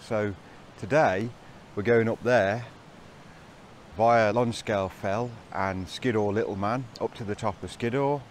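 A man's voice: lowish voice, a little slow, slight background noise. A middle-aged man vlogging on a hillside hike, talking casually to a handheld camera with an upbeat, chatty manner. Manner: chatty, upbeat, laughs between remarks. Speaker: hiking vlogger. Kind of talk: casual vlog narration. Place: outdoors on open fell hillside. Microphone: handheld camera at arm's length.

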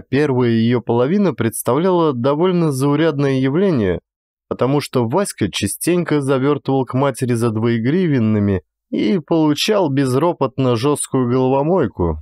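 A man's voice: medium pitch, darkish tone, no room sound, studio quality. A man narrating a classic literary story as an actor, in a dramatic scripted style.

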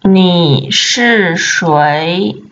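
Very slow woman drilling vocabulary. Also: lowish voice, fairly bright tone. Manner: slow, deliberate repetition of words for learners. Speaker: language teacher. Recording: dull lo-fi sound.

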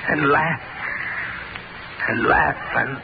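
An actor playing a deranged, gloating killer in an old-time radio thriller, menacing and theatrical. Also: male voice, a little high, drawn-out words, gravelly, exaggerated voice. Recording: muffled old radio recording, heavy noise.

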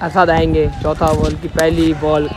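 A man's voice: a little high, completely flat delivery, measured pace, background noise. A man giving ball-by-ball commentary on an amateur street cricket match, calling each delivery in a repetitive set pattern, recorded outdoors on a phone.

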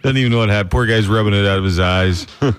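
A man co-hosting a casual morning radio show from a studio, rambling excitedly and laughing as he tells a story. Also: fairly low pitch, fast. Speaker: radio co-host. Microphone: studio microphone.

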